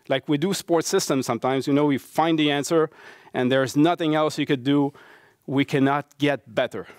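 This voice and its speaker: A middle-aged French-Canadian man gives a talk in a lecture hall, his speech full of halting fillers and restarts. His voice is mid-pitched and recorded dry. He has a Québécois French accent, and English is his second language. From Quebec City, Canada. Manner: halting, many fillers and restarts, earnest and conversational. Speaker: conference speaker, baseball coach and professor. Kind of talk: conference talk with slides.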